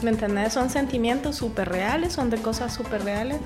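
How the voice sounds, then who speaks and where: A talkative Salvadoran woman in her forties, a singer-songwriter guest recounting things in a relaxed, laughing way. Her voice sits a little high for a woman, and music plays under the talk. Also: fairly quick. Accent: Salvadoran Spanish. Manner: chatty, laughs often, restarts words. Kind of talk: casual interview chat.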